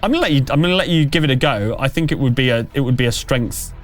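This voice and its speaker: A man's voice: mid-range voice, animated, racing, faint music behind. A man running a tabletop game as game master, narrating and talking through the rules in a conversational, think-aloud way.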